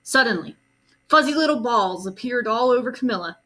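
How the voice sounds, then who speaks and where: A woman's voice: fairly high pitch, animated, brisk, pushed and bright, poor audio. A woman reading a storybook aloud to young children expressively, adding simple, casual explanations.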